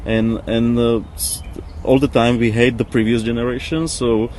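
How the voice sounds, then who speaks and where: A man, speaking in a halting, searching way during a reflective interview. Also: medium pitch, flat delivery, a little mellow. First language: not English. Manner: halting, searching for words with repetitions. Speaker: interview guest, former architect. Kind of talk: casual reflective discussion. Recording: noisy outdoor ambience with birds, traffic.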